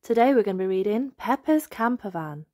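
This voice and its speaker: A woman narrating a read-aloud story for young children, expressive and carefully enunciated. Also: medium pitch, brisk, dry recording, clean studio sound. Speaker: host and storybook reader.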